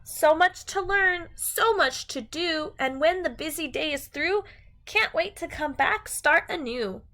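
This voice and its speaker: A woman's voice: high-pitched, animated, unhurried, fairly bright tone. A woman teacher doing a read-aloud storytime for young children in a sing-song manner.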